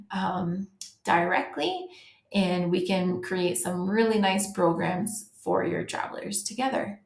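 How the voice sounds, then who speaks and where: A woman's voice: medium pitch, vocal fry. A woman from the United States giving a friendly, informal webinar presentation to travel agents, with frequent ums and restarts. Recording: roomy echo.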